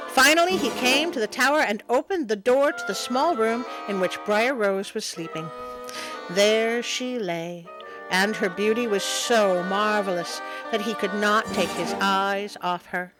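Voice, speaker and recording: A woman's voice: mid-range voice, natural voice, poor audio. A woman voicing a small furry monster who reads storybook tales expressively, with sardonic, easily sidetracked comic asides. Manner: expressive, wry, interrupts the reading with mock indignation. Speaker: storyteller and host. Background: music behind the voice.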